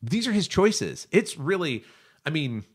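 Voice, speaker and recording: A man's voice: a little high, sing-song, unhurried, husky. A man hosting an analytical discussion podcast, speaking in an emphatic, opinionated way. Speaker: podcast host discussing animation.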